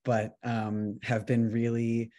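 A man from New York City giving a casual talk about his writing over a video call, relaxed and full of ums and restarts. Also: medium pitch, slow. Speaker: author giving a resident talk.

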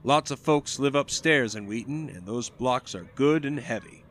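An actor playing a modest young 1920s college football star with an American accent in an audio drama, plain-spoken and folksy. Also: male voice, mid-range voice, moderate pace, fairly bright tone, natural voice. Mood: plain, unassuming. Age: young adult. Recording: noisy outdoor-like ambience.

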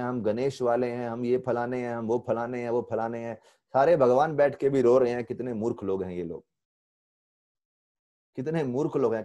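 A man in his forties with an Indian accent, giving an online spiritual lecture. He tells stories in a lively way and mixes English phrases into his Hindi. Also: medium pitch. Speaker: spiritual teacher. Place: temple room indoors. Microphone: earphone headset mic on video call.

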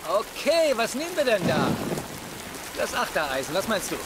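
High, boisterous, pushy buddy. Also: male voice, huge pitch swings, moderate pace. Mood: eager. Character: pushy, boisterous golf-mad buddy, eager and stubborn. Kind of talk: German sitcom dub acting. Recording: loud rain and crowd-like background noise.

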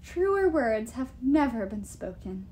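Lady doing a nervous clever young woman, audiobook, high swoopy voice, smoothing things over. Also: big pitch swings, takes it slowly, darkish tone, a little hiss or hum. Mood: placating. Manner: urgent, placating. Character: anxious, quick-witted young woman flattering a king. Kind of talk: audiobook character voice.

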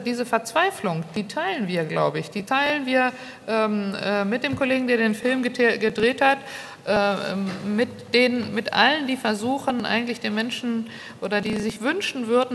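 A woman's voice: on the high side, fairly bright tone. A middle-aged woman speaking off the cuff as a panelist, emphatic and repeating herself as she argues. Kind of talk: unscripted panel discussion argument. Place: large wood-panelled conference hall. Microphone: table microphone on a stand. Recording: reverberant hall with clear background noise.

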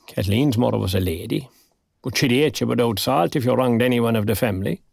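An actor playing a dry, proud but kindly older retired man in an audio drama, with an Irish accent and a gravelly voice. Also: mid-range voice, brisk, darkish tone, no room sound. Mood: reflective. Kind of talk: naturalistic audio drama dialogue.

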